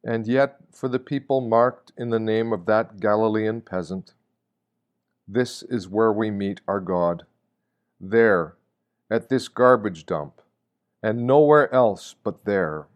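Male reader for a Lenten meditation: grave, deliberate, unhurried delivery in a fairly low register. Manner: solemn, deliberate, with rhetorical questions. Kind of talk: scripted devotional reading, sermon.

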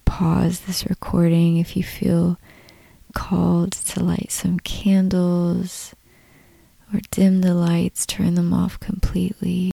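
A woman leading a guided meditation in a soft, breathy, calm voice, unhurried, with long pauses between short phrases. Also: on the low side, no room sound. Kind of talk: slow guided meditation narration.

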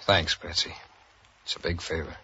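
A man's voice: deep voice, fairly even intonation, moderate pace, pushed and bright, breathy edge, exaggerated voice. An American actor playing a down-on-his-luck ex-convict in a 1940s radio drama, coaxing an old pal in a hesitant, evasive way. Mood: pleading, evasive. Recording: dull vintage radio recording.

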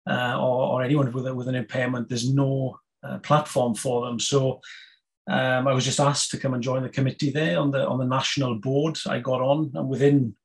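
A Welsh man in his fifties, a guest on a podcast, talking casually with many pauses, fillers and restarts. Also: mid-range voice, vocal fry. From Wales. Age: 50s. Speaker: guest, snooker player and federation chairman.

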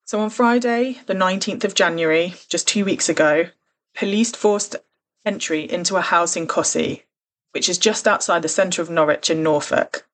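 A woman's voice: fairly low pitch, normal speed, fairly bright tone. Earnest woman hosting a podcast. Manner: earnest, conversational, careful. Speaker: podcast host. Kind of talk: true crime podcast narration.

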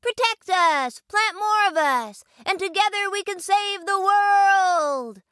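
Woman doing a high bright young boy tree. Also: no echo, studio quality, heavily acted. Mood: earnest. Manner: slow, wide-eyed, sing-song. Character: eager young boy tree, earnest schoolkid. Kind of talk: storybook character voice.

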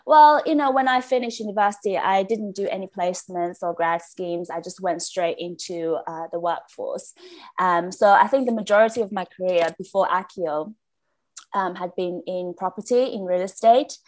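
A woman in her late twenties from China, a podcast guest chatting in an upbeat way, laughing often and filling pauses with "um". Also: lowish voice, poor audio. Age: late 20s. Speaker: podcast guest, business founder. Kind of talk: casual interview chat.

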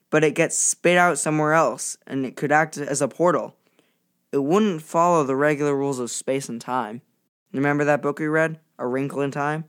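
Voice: medium pitch, lightly acted. An actor playing a bookish, precise boy in a children's audio drama, speaking in a pedantic, matter-of-fact way.

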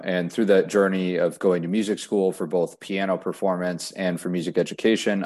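Young man, low voice, Wisconsin-raised, measured podcast guest on a remote call. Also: a little mellow. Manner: measured, explanatory, some restarts. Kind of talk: casual podcast interview.

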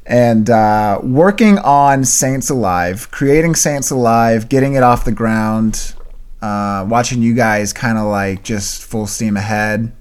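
A young man co-hosting a faith podcast, talking in a relaxed way with lots of "like". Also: medium pitch, takes it slowly, clear, resonant tone. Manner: relaxed, many "like" fillers. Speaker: podcast co-host. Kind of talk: casual chat.

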